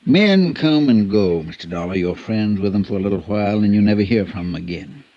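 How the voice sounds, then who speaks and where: A man's voice: fairly low pitch, animated, fairly quick, mellow, natural voice. An actor playing a nervous, deferential male ranch hand in a 1950s radio drama, polite and full of sirs. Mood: uneasy. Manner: polite, nervous, calls everyone sir. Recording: dull lo-fi old radio transcription.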